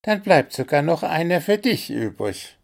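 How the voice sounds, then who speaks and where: A man's voice: on the high side, big pitch swings, moderate pace, vocal fry, pitched above the performer's own voice. A man voicing a talkative owl who speaks for a group of forest animals. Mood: persuasive.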